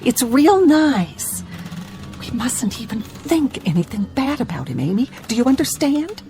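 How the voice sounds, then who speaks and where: A woman's voice: high-pitched, big pitch swings, measured pace, dark tone, soft background music. An actress playing an anxious small-town mother in a radio drama, folksy and insistent, forcing cheerfulness out of fear. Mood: anxious, pleading. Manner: folksy, insistent, repeating reassurances.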